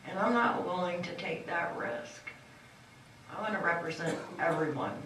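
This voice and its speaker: A woman's voice: fairly low pitch, animated, moderate pace. A woman candidate delivers a fiery, folksy campaign speech with emphatic punches. She is heard from a distance in a very echoey hall. A faint hiss runs underneath. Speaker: candidate for county supervisor. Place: large echoey meeting hall.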